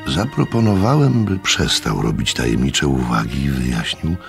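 Radio drama narrator: a measured, literary storyteller, male, very low and creaky, faint music. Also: very animated, heavily acted.

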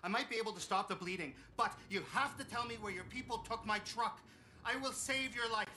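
An actor playing a compassionate middle-aged doctor in a cinematic game, earnest and pleading. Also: male voice, high voice, fairly quick, sharp tone. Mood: desperate pleading. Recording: game effects noise behind the voice.

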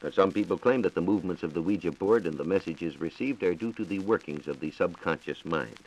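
An American man explaining science in a measured, formal way on a 1940s radio program. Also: deep voice, darkish tone, low-quality recording. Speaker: science reporter and radio co-host. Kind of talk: 1940s radio science talk, answering questions. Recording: 1940s radio transcription disc.

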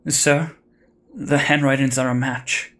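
A man's voice: mid-range voice, steady tone, slow, a bit bright. A young man playing an earnest, hesitant, grieving college student in an audio drama. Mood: troubled. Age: young adult.

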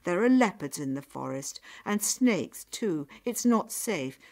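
A woman voicing a harsh mother in a storybook tale, sharp and commanding. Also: lowish voice, lively intonation, measured pace. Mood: dismissive. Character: harsh, scolding mother who plays favourites.

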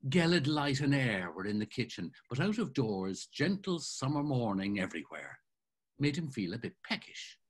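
An older man narrating a novel in a savoring, expressive reading style over a video call. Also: medium pitch, normal speed, slightly rough. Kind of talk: dramatic literary reading. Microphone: video call webcam.

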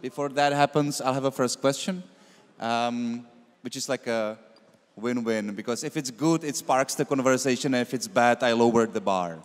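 A man's voice: medium pitch, moderate pace. Guy hosting a q&a on stage, informal, lots of hall echo. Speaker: Q&A moderator. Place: large wooden concert hall with audience. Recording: echoey concert hall.

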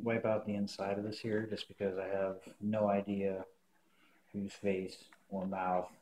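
A man with a fairly low voice talks in a plain, casual way, as if walking viewers through a hobby project. He is recorded indoors by a camera a little way off, with some noise behind him. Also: warm tone, poor audio. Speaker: prop maker reviewing and modifying a costume mask. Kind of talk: casual unboxing and tutorial talk to camera. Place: indoor room at a table. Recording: clear background noise, handling clicks.

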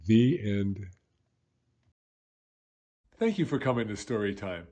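Low husky older man reading to children. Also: lively intonation, takes it slowly, mellow. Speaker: story reader and host, elementary school teacher. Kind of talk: children's picture-book read-aloud.

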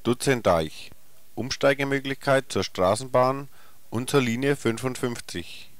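A man's voice: mid-range voice, unhurried, slight background noise. A man reading scripted bus stop announcements in a clipped, formal, neutral manner, from a 1990s cassette recording. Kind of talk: scripted public transit announcement, short formal stop names.

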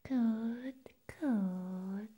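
A young woman doing an ASMR roleplay as an eccentric vampire nurse, whispering breathily in slow, drawn-out phrases with soft hums, close to the microphone. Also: on the high side, breathy edge, lo-fi sound. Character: eccentric, playful female vampire nurse, hungry for blood. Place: small indoor room set up as a clinic.

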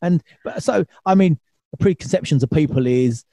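A man's voice: a little high, moderate pace, dark tone, no room sound. A man in his thirties hosting a podcast from a small home studio, laid-back and laughing along as he asks loose, rambling questions. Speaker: podcast host. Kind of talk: casual interview chat. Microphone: studio mic with headphones.

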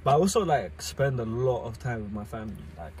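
A man, chatting casually as host, animated and full of restarts. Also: mid-range voice, brisk, slight background noise. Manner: animated, repeats words and restarts, London slang. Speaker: content creator host. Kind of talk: casual chat. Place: inside a parked car at night. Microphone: phone camera on the dashboard.

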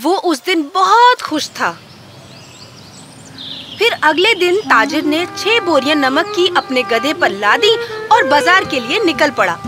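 A woman's voice: sits high, big pitch swings, over music. A woman reading a scripted children's story as the narrator.

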